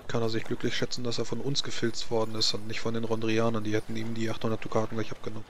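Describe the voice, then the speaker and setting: Guy roleplaying at a fantasy tabletop game, pretty flat delivery, bit of background noise. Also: fairly low pitch, rapid-fire. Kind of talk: improvised in-character fantasy roleplay chat.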